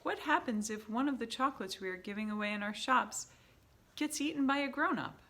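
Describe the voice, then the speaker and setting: A woman's voice: on the high side, fairly quick, a bit bright, voice pushed up. A woman voicing a hesitant female witch in the audience asking a deferential question in a raised voice.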